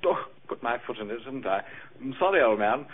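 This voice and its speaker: A man's voice: medium pitch, expressive, fast talker, lightly acted. A man playing a breezy, catty, hard-drinking party guest in an old radio drama, gushing and arch. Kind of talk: 1950s radio drama acting. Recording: muffled old radio recording.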